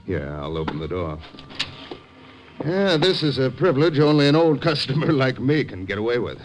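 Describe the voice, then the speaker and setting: An actor playing a jovial, sinister office rival who chuckles with false friendliness, in a 1950s radio drama. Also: male voice, mid-range voice, big pitch swings, normal speed, a little hiss or hum, natural voice. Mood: falsely jovial. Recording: old radio broadcast with music.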